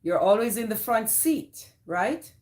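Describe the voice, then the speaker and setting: A woman's voice: fairly high pitch, sing-song, measured pace, a little mellow. Jamaican woman hosting a chatty livestream. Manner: greets viewers by name, friendly and chatty. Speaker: livestream host. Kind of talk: casual live chat reading viewer comments.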